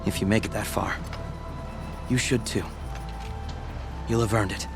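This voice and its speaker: Earnest young shaman apprentice, lowish voice, humble, loud game music under it. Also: steady tone, unhurried. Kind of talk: video game cutscene dialogue.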